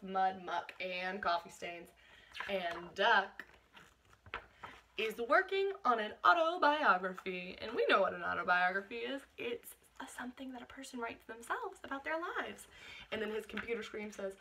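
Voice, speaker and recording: A woman reading a picture book aloud to children as a teacher, expressive, with explanatory asides and questions for young listeners. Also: mid-range voice, slight background noise.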